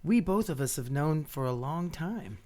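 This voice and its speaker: A man's voice: a little high, expressive, normal speed, darkish tone, close to an everyday voice. An actor playing an ardent, insistent man in forbidden love in a radio play, speaking earnestly and tenderly. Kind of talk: radio play romantic acting.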